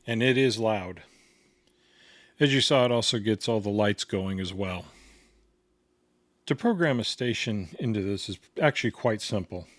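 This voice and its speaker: A man's voice: on the low side, normal speed, long pauses, dry recording. A man giving a casual hands-on product tutorial in a plain, step-by-step instructional way. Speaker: product reviewer demonstrating a gadget.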